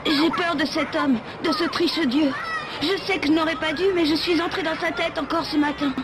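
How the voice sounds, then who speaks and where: Woman as very high-voiced, anxious young child. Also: steady tone, moderate pace, a crowd in the background, background noise, close to an everyday voice. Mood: fearful, hushed. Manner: urgent, pleading. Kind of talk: French animated series dubbing. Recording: loud music under the voice.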